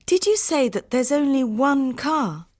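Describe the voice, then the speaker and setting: Desperate young female traveller for audiobook dialogue: anxious, pleading, very expressive, unhurried. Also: sits high, dry recording, exaggerated voice. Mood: hopeful, urgent.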